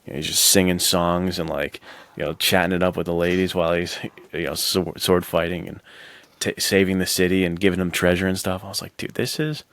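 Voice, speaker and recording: A man with a very low voice and plenty of fry. He hosts a podcast and talks casually and keenly, in laid-back bro slang. The sound is dry and free of room echo. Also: flat delivery, a little fast, a bit bright. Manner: informal, bro-ish slang, enthusiastic. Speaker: podcast host. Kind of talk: casual enthusiastic chat about adventure stories.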